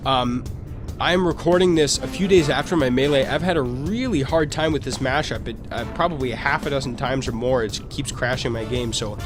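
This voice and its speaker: A man's voice: medium pitch, a little fast. A young man with a congested voice, commentating a naval battle game in a rambling, chatty way with fillers and restarts. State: congested from a cold. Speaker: let's play host. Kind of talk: casual gameplay commentary. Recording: game music playing under the voice. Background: game music.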